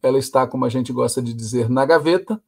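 A man's voice: medium pitch, fast, creaky, husky. Older man giving firm political commentary. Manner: firm, opinionated delivery. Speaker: host and commentator. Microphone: video call webcam.

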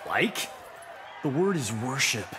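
A man's voice: mid-range voice, sing-song, takes it slowly, crowd noise, noisy background. A man playing a vain, cocky movie-star fighter in a video game, smug and flippant. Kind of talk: video game combat intro dialogue. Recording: echoing game scene, music behind.

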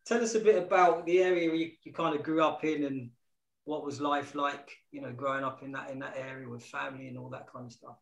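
A middle-aged man who hosts a radio show, asking questions in a halting, repetitive way over a video call from home. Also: mid-range voice, fairly even intonation, vocal fry. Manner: hesitant, repeats words as he asks questions. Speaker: radio DJ and host. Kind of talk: casual interview chat. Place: home room, indoors. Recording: echoey room on a video call.

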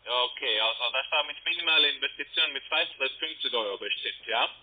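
A man's voice: mid-range voice, quick pace, slight background noise. A man with a foreign accent making a sales call as a call center agent on a tinny telephone line. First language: not German.

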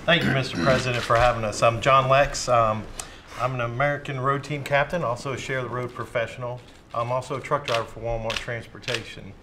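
A veteran truck driver introducing himself proudly with a touch of dry humor. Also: male voice, medium pitch, level tone, brisk. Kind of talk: formal self-introduction. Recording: noisy room with clicks.

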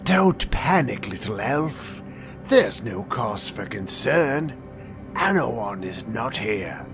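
An actor playing a calm, courteous male vampire with a thin, dry voice, speaking slowly and with polite menace in a fan audio drama. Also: mid-range voice, over music. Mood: coaxing. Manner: slow, measured and politely menacing.

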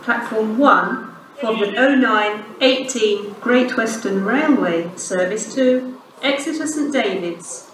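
A woman giving automated railway station announcements in a measured, formal way, played over loudspeakers. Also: on the high side, background noise. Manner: measured, formal, clearly spaced words. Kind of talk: recorded public announcements. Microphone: station loudspeakers recorded from far off. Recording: echoey platform speakers from far off. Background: station ambience.

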